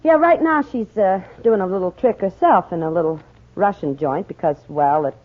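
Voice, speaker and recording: Streetwise coy nightclub singer, deep female voice, drawling, slangy, 1940s radio acting, muffled narrow recording. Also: animated, normal speed. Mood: knowing, guarded.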